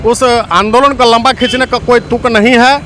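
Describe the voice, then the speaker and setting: A man's voice: very high voice, fairly even intonation, normal speed. A man with a Jharkhand accent speaking as an activist in a political interview, earnest and speech-like. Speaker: activist, movement leader. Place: outdoors at a road junction. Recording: noisy outdoor roadside recording. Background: loud traffic and vehicle noise.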